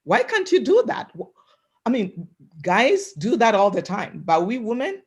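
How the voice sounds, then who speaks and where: A woman from Africa speaking on a webinar panel, giving an emphatic motivational talk in a loud voice over a video call and often asking "right?". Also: on the high side, big pitch swings, unhurried, husky. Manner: loud voice, emphatic, repeats "right?" and "you know".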